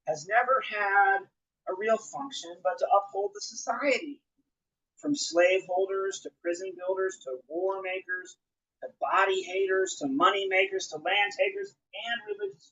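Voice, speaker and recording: A man's voice: high voice, expressive, moderate pace, pushed and bright. A man giving a live spoken-word poetry reading, with an emphatic, rhythmic, declamatory delivery. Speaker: poet. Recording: dull, lo-fi live recording.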